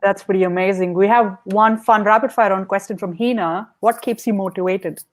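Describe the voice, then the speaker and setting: A woman's voice: mid-range voice, fairly even intonation, a little fast. A woman hosting a podcast interview who asks prepared questions in an upbeat way. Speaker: podcast host.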